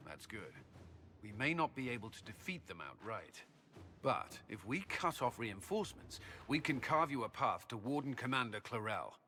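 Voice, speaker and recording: An actor voicing a confident male army commander who speaks briskly and with assurance. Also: mid-range voice, very animated, pushed and bright, a little hiss or hum. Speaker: military commander.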